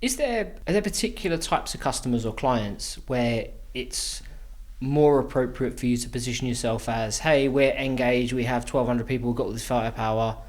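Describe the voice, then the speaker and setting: A man's voice: medium pitch, moderate pace. A man hosting a podcast and asking questions in a casual, conversational way. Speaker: podcast host, interviewer.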